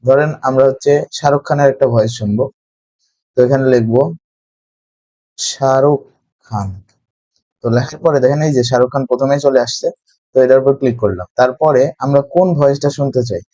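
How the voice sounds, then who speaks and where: A man's voice: mid-range voice, fairly even intonation, a little fast, long pauses, dark tone, dry recording, muffled recording. A man narrating a step-by-step screen tutorial as its host.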